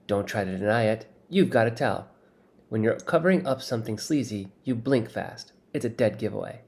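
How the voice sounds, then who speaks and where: A man's voice: lowish voice, lively intonation, moderate pace, gravelly, darkish tone. This is a man acting a strict, rule-abiding boy in a children's audiobook. He sounds indignant and scoffing, speaking in exclamations as he objects. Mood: accusing. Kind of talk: acted audiobook dialogue.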